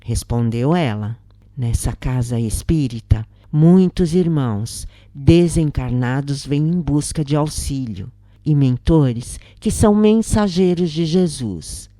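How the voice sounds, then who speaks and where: A Brazilian woman voicing a calm, admonishing female spiritist counselor in a story, solemn and instructive. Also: extremely low pitch, takes it slowly, no room sound, full character voice. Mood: reassuring, instructive. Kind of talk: storybook narration dialogue.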